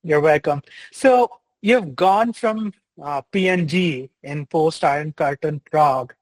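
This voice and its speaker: A man's voice: a little high, takes it slowly, dry recording, poor audio. A young man hosting an interview, reading an enthusiastic intro and asking questions in an upbeat way. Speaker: host.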